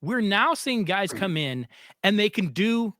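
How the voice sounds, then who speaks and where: Excited host guy, pretty high sharp voice, dry close mic, chatting sports. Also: measured pace, dry recording. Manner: eager, enthusiastic introductions and questions. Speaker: podcast host. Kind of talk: casual sports interview.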